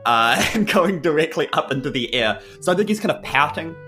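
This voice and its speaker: A man's voice: fairly high pitch, animated, a little fast, pushed and bright. Male tabletop player, everyday conversational delivery, light music bed. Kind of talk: casual description of his character.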